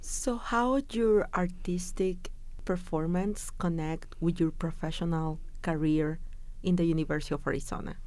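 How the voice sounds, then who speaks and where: A woman's voice: lowish voice, steady tone, moderate pace, darkish tone. A woman hosting a community radio program, reading a formal intro and asking interview questions. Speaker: radio host. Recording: radio voice over faint violin music.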